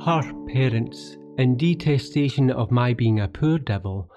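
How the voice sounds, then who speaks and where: An actor playing a wry, roguish young Scottish poet, telling his own life in literary, measured monologue for a radio play. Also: medium pitch, animated, dark tone, dull audio, soft background music, lightly acted. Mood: sombre, resigned. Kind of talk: first-person radio play monologue with folk songs.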